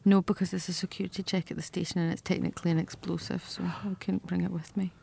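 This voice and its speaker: A woman's voice: on the low side, flat delivery, fast, gravelly, dark tone, breathy edge. A middle-aged Scottish woman hosting a podcast, chatting with a guest in a dry, self-mocking way. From Scotland. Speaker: podcast host. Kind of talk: casual interview chat.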